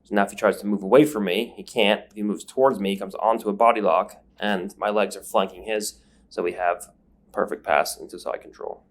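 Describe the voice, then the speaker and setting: Lowish guy narrating grappling drills, sniffly from a numbed nose, explains each step. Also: fairly even intonation, a little fast. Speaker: grappling instructor. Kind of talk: instructional voice-over commentary. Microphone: voice-over recorded separately.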